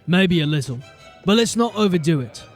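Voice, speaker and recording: An actor playing a wary, blunt city watch officer with a dry, guarded manner in a fantasy audio drama. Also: male voice, high-pitched, expressive, a little fast, faint music behind. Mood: sardonic.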